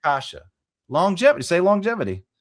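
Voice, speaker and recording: A man's voice: high voice, big pitch swings, quick pace, no echo, clean studio sound. A man with a Long Island accent hosting a podcast, chatting playfully and animatedly with his guest. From Long Island, New York. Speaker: podcast host. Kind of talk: casual conversation.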